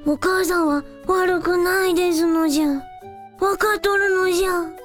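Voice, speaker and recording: ラジオドラマで、古風な語尾で話す誇り高く健気な小さいドラゴンの王子を演じる女性。. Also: female voice, very high voice, steady tone, a little slow, soft background music. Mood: hungry, sleepy. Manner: quaint old-man sentence endings in a childlike register. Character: small gluttonous dragon prince with old-fashioned speech, proud, earnest. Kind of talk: amateur anime-like radio drama acting.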